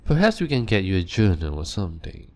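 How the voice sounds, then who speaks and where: A man voicing an ancient male vampire doctor, calm and clinical, speaking softly and often in a whisper in an audio roleplay. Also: deep voice. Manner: soft-spoken and measured, often whispering.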